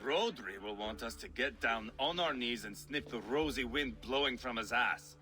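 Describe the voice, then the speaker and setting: An actor voicing a fierce, mocking, bloodthirsty Viking warrior in a video game, with a crude, theatrical, taunting manner. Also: male voice, medium pitch, moderate pace, bright, forceful tone, close to an everyday voice. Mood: scornful. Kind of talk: video game character acting. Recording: music behind the voice.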